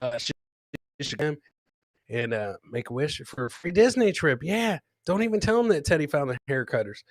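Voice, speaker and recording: A man's voice: a little high, big pitch swings, normal speed, no echo. A man hosting a live comedy podcast, jokey and informal, repeating his phrases as he reacts to clips and chat. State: several beers in. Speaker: host.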